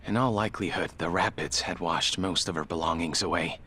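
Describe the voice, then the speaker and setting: A man's voice: deep voice, sharp tone, a little hiss or hum. An actor playing a formal, guarded male medical examiner in a video game drama, choosing his words with care. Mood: composed, formal. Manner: formal, measured diction, falters when pressed. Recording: music behind the voice.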